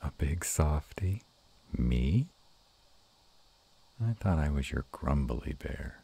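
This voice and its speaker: A man playing a gruff but tender were-dragon lover in an intimate romantic audio roleplay, murmuring slowly and haltingly in a gravelly, husky voice. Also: extremely low pitch, expressive, warm tone, full character voice. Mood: playful growling.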